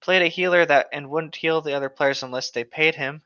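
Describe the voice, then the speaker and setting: A fast-talking man with a higher, sharp-edged voice on a slightly dull, lo-fi mic. He reads stories and comments casually as a co-host. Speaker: co-host reading online stories. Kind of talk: casual chat and story reading. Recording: dull, lo-fi microphone sound.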